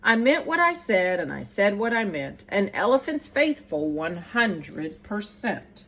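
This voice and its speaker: A woman's voice: medium pitch, big pitch swings, unhurried, fairly bright tone, exaggerated voice. A woman voicing a faithful, steadfast male elephant in a read-aloud story, speaking earnestly.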